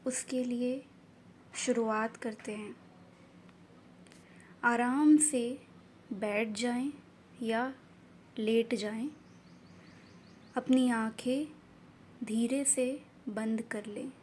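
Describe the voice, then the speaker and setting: A woman guiding a meditation in a gentle, soothing manner, with short unhurried phrases of instruction. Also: on the high side, steady tone. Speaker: meditation guide. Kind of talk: guided meditation narration. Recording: faint background noise and ambience.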